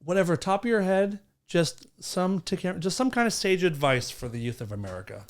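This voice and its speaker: Animated man joking on a podcast. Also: sits high, a little fast. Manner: joking, crude, lively delivery. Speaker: podcast co-host. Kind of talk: casual comedy chat.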